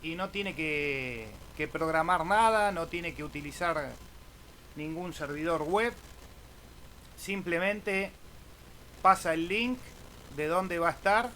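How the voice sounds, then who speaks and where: A man's voice: on the high side, takes it slowly, pauses often, bright, forceful tone. A man giving an informal, casual screen-share tutorial as an amateur radio YouTuber, often tagging phrases with a questioning "eh?". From Argentina. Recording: clear background noise.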